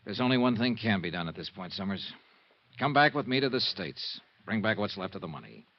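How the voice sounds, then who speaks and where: A hardboiled, world-weary investigator from an old radio detective show. His low voice moves expressively, wry and clipped. The recording has a dull vintage sound. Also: very animated, a little fast. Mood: businesslike, probing. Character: tough, wry, world-weary male insurance investigator narrating his case. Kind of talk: 1950s radio detective acting and narration. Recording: muffled old radio broadcast.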